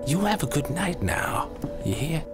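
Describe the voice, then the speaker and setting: A cruel prison guard in an audio drama, taunting and theatrical. His pitch swings enormously and he drags his words out slowly. Loud music plays underneath. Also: sing-song. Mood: smug, cruel. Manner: theatrical, menacing, drawn-out delivery with wide swings. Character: sadistic, taunting male prison guard bullying inmates.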